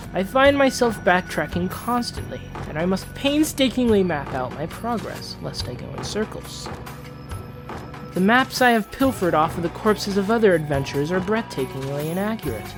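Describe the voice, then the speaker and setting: Casting: proud, entitled young prince played by a fan voice actor, formal fantasy narration, very expressive intonation, soft music underneath. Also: medium pitch, natural voice. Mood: wary, puzzled. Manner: theatrical, formal, dramatic. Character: Prince Johannes, proud, haughty, entitled eldest royal son on a quest. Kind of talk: dramatic fantasy journal narration, fan dub.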